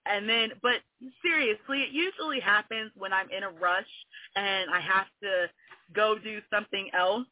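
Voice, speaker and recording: A woman's voice: mid-range voice, normal speed, no echo. A woman in her forties with a Southern accent touched by New Orleans, a guest poet chatting openly and laughing often in an interview. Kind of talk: casual interview conversation. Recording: tinny phone-line audio.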